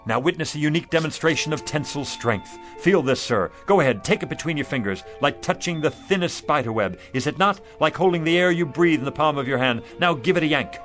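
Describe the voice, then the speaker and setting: An actor playing a down-on-his-luck street vendor, a persuasive pitchman with flowery salesman patter, in a radio drama. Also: expressive, a little fast, few pauses, fairly bright tone. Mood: grand sales patter. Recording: music under the dialogue.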